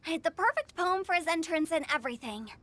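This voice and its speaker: A woman's voice: very high-pitched, lively intonation, fast, fairly bright tone, slight background noise. A woman voicing a playful, theatrical teenage girl who hosts festivities in a video game. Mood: mock regret.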